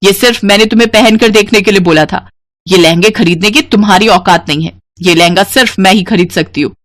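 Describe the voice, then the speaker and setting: A woman's voice: mid-range voice, brisk, lightly acted. A woman voicing a haughty, bossy young rich woman in a moral story, imperious and belittling. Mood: scornful, angry.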